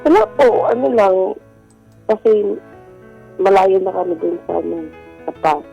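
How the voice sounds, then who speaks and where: A woman's voice: mid-range voice, animated, measured pace, creaky, dark tone, faint music behind, low-quality recording. A Filipino woman calling in to a radio show, confiding casually in a polite, hesitant manner. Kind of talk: casual personal confession.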